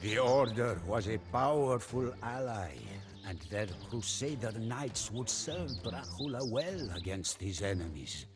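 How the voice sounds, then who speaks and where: A man playing a soldier who recounts a mysterious tale as a solemn, theatrical, measured game narrator. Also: medium pitch. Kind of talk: dramatic scripted storytelling. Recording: studio narration under prominent music.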